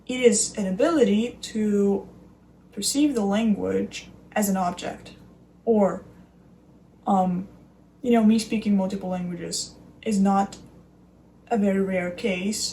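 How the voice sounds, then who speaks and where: A young woman, thinking aloud in a reflective solo talk and often pausing to search for her words. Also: mid-range voice, fairly even intonation, mellow, slight background noise, rough recording. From Russia. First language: Russian. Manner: thoughtful, searching for words, many fillers. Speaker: podcast host.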